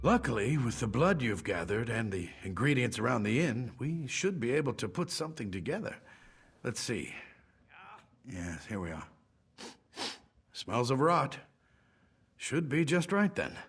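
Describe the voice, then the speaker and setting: A man's voice: medium pitch, very animated, measured pace, pauses often, fairly bright tone, breathy edge, exaggerated voice. An actor playing an irritable, brusque male alchemist in a fantasy video game, with a fussy, learned manner. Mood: muttering, fussy.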